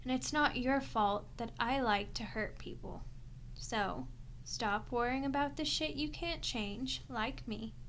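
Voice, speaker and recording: A woman's voice: on the high side, slow pace, a bit bright, a little hiss or hum. A woman playing a sardonic, teasing, centuries-old female vampire in an ASMR audio roleplay, sweary and unrepentantly bloodthirsty. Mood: gleefully menacing.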